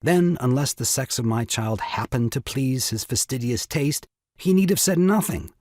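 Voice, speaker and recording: A man's voice: medium pitch, expressive, moderate pace, a little mellow, dry recording, studio quality, close to an everyday voice. An actor playing a self-pitying, exasperated new father in an audio farce, with grandiloquent, melodramatic delivery. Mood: exasperated ranting. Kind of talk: theatrical audio drama farce acting.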